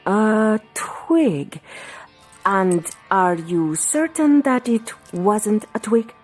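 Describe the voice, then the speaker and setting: Radio drama palm reader, female: theatrical, commanding, swooping pitch, unhurried dramatic pauses. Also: expressive. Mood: mystical, probing. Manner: dramatic, drawn-out pauses, hushed ominous passages, formal phrasing. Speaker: fortune teller. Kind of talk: audio drama acting. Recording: music under the voice.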